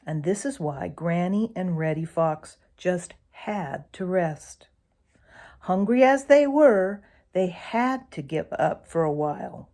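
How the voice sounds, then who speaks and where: Slow, expressive woman reading a storybook. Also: fairly low pitch. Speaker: narrator reading a children's book aloud.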